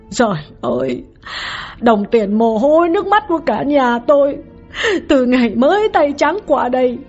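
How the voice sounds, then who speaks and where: A woman doing a heartbroken older wife, high-pitched and shrill, cursing and crying in a storybook reading. the recording is a bit dull, with soft music underneath. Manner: shrill, sobbing complaints and curses. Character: aging betrayed wife, bitter and grief-stricken. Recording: slightly dull, faint background music.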